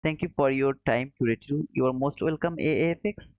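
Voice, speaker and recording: A man's voice: mid-range voice, fairly quick, dry recording. A man from Bangladesh talks casually to his viewers, using the friendly "brother" and little chuckles between comments. The sound is dry and close, with a slightly dull, muffled quality. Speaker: trading livestream host. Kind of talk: casual live chat reading viewer comments.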